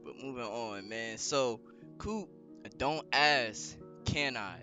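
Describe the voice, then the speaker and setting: Bright-voiced teasing podcast man. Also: mid-range voice, slow, faint music behind. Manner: teasing, laughs often, uses slang. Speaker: podcast co-host. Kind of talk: casual podcast banter.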